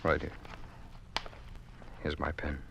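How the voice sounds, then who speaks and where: Low-voiced, uneasy government official. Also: male voice, completely flat delivery, slow, creaky, heavily acted. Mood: businesslike. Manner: clipped, hesitant, deferential. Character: uneasy, dutiful justice minister, obedient regime official. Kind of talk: old-time radio drama acting. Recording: noisy old radio recording.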